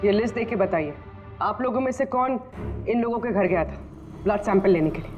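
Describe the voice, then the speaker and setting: An actress playing a firm, businesslike police investigator with a direct manner in a TV crime drama. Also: female voice, mid-range voice, expressive, brisk, highs cut off, soft background music, natural voice. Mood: firm, accusing.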